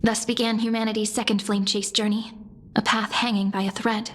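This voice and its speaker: A woman voicing a gentle, resolute young heroine in a fantasy game, telling a legend in a solemn, measured way. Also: mid-range voice, level tone, close to an everyday voice. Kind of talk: scripted fantasy game narration. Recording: music clearly behind.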